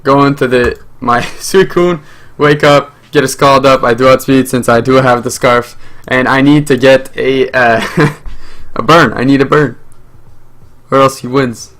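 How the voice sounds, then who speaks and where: A man's voice: mid-range voice, fairly even intonation, a little slow, a bit bright. A young man whose first language is French, hosting his own channel and giving live, rambling commentary over a game with lots of fillers and restarts. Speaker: gaming channel host.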